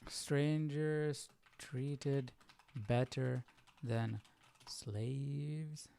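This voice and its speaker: A man's voice: mid-range voice, fairly even intonation, drawn-out words, gravelly, warm tone. A man hosting a casual Bible study livestream, thinking aloud in a musing, questioning way. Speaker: host of a live Bible study.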